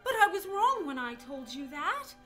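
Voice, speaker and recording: A woman playing a loving, earnest mother in a radio play, expressive and emphatic. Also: very high voice, moderate pace, soft background music, full character voice. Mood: earnest, reassuring. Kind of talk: community radio play acting.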